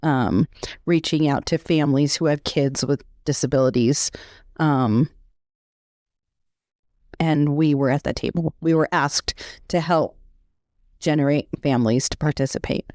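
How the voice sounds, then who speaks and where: Woman, American accent, podcast co-host, earnest, upbeat, very low voice, dry sound. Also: unhurried, pauses often, darkish tone, no room sound. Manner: earnest, upbeat, filler-heavy. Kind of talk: casual podcast chat.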